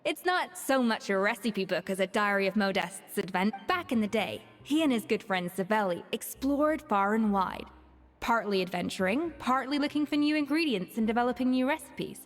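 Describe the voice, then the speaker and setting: A woman voicing a composed head chef who speaks in a measured, dry, slightly disdainful way. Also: on the high side, animated, natural voice. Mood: explaining. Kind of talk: video game voice acting. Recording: reverberant game audio with soft music.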